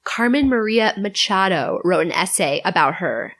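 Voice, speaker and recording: This woman is a podcast guest with a mid-pitched voice that moves around a lot. She talks casually, with enthusiasm and a wry edge. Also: expressive, moderate pace, fairly bright tone.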